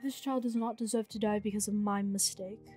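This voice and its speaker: Woman acting commanding goddess mother, bright voice. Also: medium pitch, fairly even intonation, soft background music, natural voice. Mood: grave, pleading. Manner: measured, imperious delivery. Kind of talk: scripted fantasy game roleplay.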